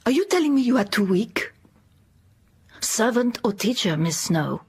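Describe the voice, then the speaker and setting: A woman playing a shrewd, commanding middle-aged headmistress with a French accent, clipped and measured, in a period radio drama. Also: a little high, big pitch swings, a little mellow, husky, exaggerated voice. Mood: stern, challenging.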